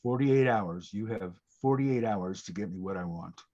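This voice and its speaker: An actor playing a menacing, wise captor in an audio drama, with a worn, deep voice and slow, cryptic threats. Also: male voice, mellow, muffled recording. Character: menacing, wise old male captor lurking in shadow. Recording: clearly noisy, ticking clock behind.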